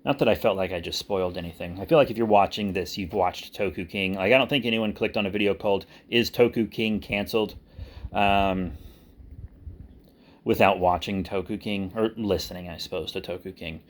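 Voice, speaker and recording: Male video creator, lowish voice. Informal update delivery, loose and self-correcting, thinking out loud. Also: fairly quick. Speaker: online video creator and writer. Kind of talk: casual rambling update to viewers.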